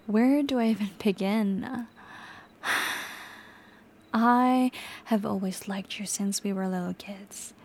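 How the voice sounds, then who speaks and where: A young woman voicing an obsessive, volatile captor in an audio roleplay, sliding between soft whispers and sudden sharp outbursts. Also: mid-range voice, slow pace, pauses often, slight background noise. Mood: resentful.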